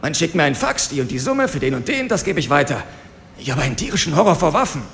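A man's voice: on the high side, very animated, fast talker, fairly bright tone, exaggerated voice. An actor playing a cynical, cowardly henchman in a radio drama, talking in a coarse, self-excusing way. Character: cynical, cowardly male henchman confessing to police. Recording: clear ambient noise behind.

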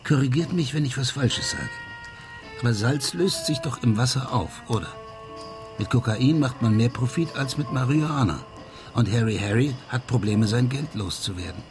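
An actor in a radio crime drama playing an amateur sleuth who reasons aloud in a didactic, questioning way. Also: male voice, medium pitch, moderate pace, music in the background, natural voice. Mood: explaining.

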